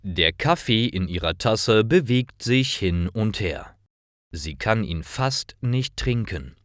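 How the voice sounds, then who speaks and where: A man reading short stories for language learners in a careful, measured, clearly enunciated scripted narration. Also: on the low side, fairly bright tone, no echo, clean studio sound.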